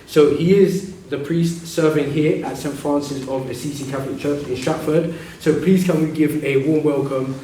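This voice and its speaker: A man introducing a priest to an audience, hesitant with frequent pauses. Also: a little high, steady tone, dark tone, slight background noise. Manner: hesitant, with many ums. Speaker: event host introducing the speaker. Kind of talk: introduction of a guest speaker. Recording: echoey hall from far off.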